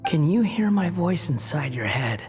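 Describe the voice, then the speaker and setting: An actor playing an aloof, proud young wandering god in a video game, speaking with a cool, dry, mocking delivery. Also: male voice, fairly high pitch, sing-song, normal speed, music in the background. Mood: weary. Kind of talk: video game cutscene dialogue.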